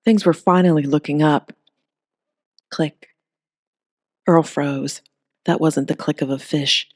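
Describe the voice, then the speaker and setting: A woman narrating a comedic creature story in an even, steady reading voice. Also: low voice, slow, dry recording, clean studio sound. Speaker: audiobook narrator.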